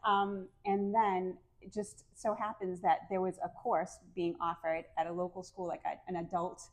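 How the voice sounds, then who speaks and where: A middle-aged woman with a New England American accent, a calm and soft-spoken podcast guest chatting casually and laughing often. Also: fairly low pitch, normal speed, low-quality recording. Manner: friendly, laughs often, many fillers. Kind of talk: casual interview chat.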